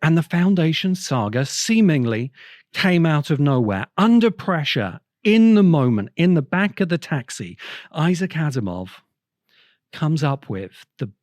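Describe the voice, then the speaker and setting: A man hosting a podcast as a storytelling monologue, speaking deliberately with dramatic pauses and emphatic repetition. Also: on the high side, sing-song, a little mellow, dry recording. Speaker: podcast host.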